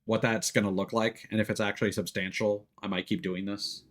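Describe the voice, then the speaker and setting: A man's voice: lowish voice, little pitch movement, a little fast. A man giving casual live commentary while playing a video game, musing aloud in a conversational way. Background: music behind the voice.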